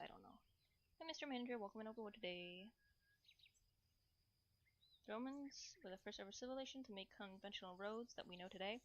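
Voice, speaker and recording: A woman's voice: medium pitch, a bit bright, muffled recording. A woman chatting casually to livestream viewers in a playful, rambling way, often repeating short phrases and slipping into cutesy broken grammar. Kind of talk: casual livestream chat while cooking.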